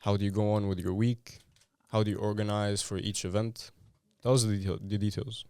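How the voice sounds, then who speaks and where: A man's voice: fairly low pitch, little pitch movement, fairly quick, darkish tone, smooth, no room sound. A man hosting a casual podcast interview, asking playful, teasing questions. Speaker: podcast host.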